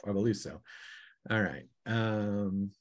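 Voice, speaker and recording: A man acting as game master, narrating a tabletop adventure off the cuff in a halting, explanatory way. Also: fairly low pitch.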